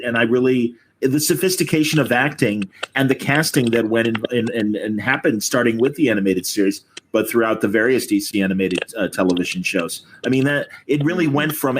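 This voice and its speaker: Effusive male host on a noisy call. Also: mid-range voice. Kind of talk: casual online group conversation. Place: remote video call. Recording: noisy video call audio with clicks.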